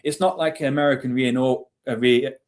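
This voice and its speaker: A man's voice: mid-range voice, fairly even intonation, normal speed. A man in his forties with a British accent, telling stories casually and chattily as an interview guest, with some self-deprecation. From England. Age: 40s. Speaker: interview guest, army veteran. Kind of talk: casual storytelling.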